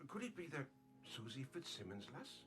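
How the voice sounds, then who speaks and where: An actor playing a gossipy, prying priest in a confessional, in a film comedy-drama. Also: male voice, mid-range voice, big pitch swings, normal speed, fairly bright tone, soft background music. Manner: prying, insinuating. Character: nosy, gossipy male priest hearing confession.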